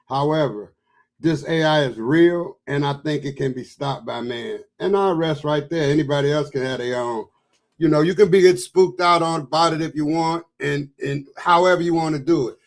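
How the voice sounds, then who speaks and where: A man's voice: a little high, creaky, a little mellow. A man on a discussion panel, talking in an emphatic, rambling way and insisting on being heard.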